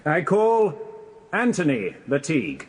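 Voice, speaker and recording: A man's voice: a little high, huge pitch swings, unhurried, slightly rough, full character voice. A man playing a polite, slightly hesitant British defence barrister in a radio courtroom drama, formal and probing. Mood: deferential. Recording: reverberant courtroom-like hall.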